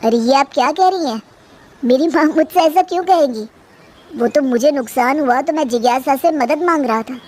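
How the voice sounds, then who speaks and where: A woman's voice: sits high, normal speed, a little mellow, natural voice. A woman voicing a stern, exasperated young husband in a moral story, with a reproachful way of questioning. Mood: earnest. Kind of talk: Hindi moral-story drama.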